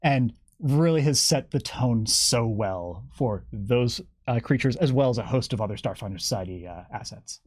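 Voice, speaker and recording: A man's voice: medium pitch, lively intonation, moderate pace, few pauses, a little mellow, husky, no echo. A man casually explaining a game as a guest developer, with a wry, enthusiastic manner.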